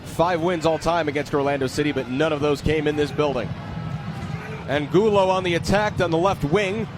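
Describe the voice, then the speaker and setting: A man's voice: fairly high pitch, moderate pace, over music. A man doing live play-by-play soccer commentary on the radio, from an open-air stadium. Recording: noisy outdoor stadium ambience. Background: loud stadium ambience.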